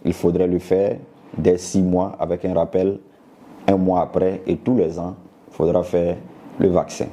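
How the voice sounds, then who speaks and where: A man speaking as a doctor, explaining a health topic to viewers in a didactic way and pausing to search for words. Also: low voice, little pitch movement, dark tone, a little hiss or hum. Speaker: doctor giving a health talk.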